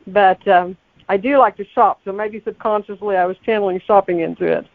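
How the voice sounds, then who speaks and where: A woman's voice: mid-range voice, fairly even intonation, brisk, no echo. A woman guest, a novelist, chatting casually in an interview and thinking aloud over a narrow, phone-like connection. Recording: tinny, phone-like, narrow-band line.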